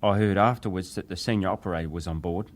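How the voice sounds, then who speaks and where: Low-voiced, deferential male witness played by an actor. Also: close to an everyday voice. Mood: grave, restrained. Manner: deferential, hesitant, measured answers. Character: young male ship's wireless operator survivor, deferential witness. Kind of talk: dramatized reading of hearing testimony.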